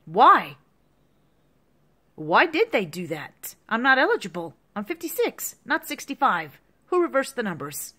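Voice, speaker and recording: Woman in her fifties, angry repetitive rant, lively swooping pitch, bright sharp tone. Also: a little slow. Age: 50s. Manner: agitated, repetitive ranting with profanity. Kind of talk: angry monologue to camera.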